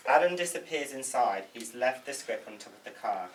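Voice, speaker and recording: A man's voice: medium pitch, lively intonation, fairly quick. A man reading screenplay stage directions aloud at a table read. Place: indoor room, group table read. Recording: slightly noisy room, distant mic.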